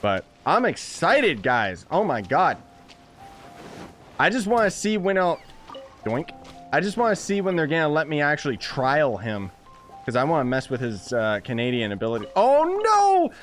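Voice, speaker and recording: A gamer narrating his playthrough, excitable and jokey, breaking into loud exclamations. His slightly high voice leaps up and down with great energy. Faint game music plays under him. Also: normal speed. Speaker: gaming video host. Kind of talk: let's-play commentary.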